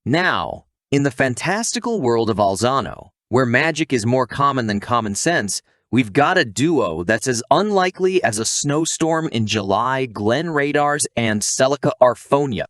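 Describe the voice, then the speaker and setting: A man's voice: mid-range voice, lively intonation, unhurried, few pauses, fairly bright tone, no echo, clean studio sound. A man narrating a scripted story recap in a playful, theatrical way, addressing the audience like a storyteller.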